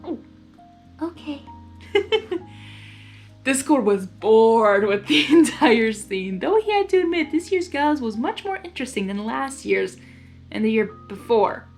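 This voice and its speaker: A woman's voice: on the high side, very animated, unhurried, darkish tone, soft background music. A woman reading fan fiction aloud informally, unrehearsed, with stumbles and amused asides. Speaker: fan fiction reader and channel host. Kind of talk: informal storytelling read-aloud.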